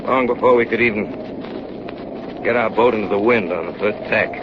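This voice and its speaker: An actor in a 1930s radio drama playing a grave naval officer who narrates in a dramatic manner. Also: male voice, on the low side, moderate pace, close to an everyday voice. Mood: tense, grave. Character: grave naval officer recounting a polar sea disaster. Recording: dull, very noisy 1938 radio recording.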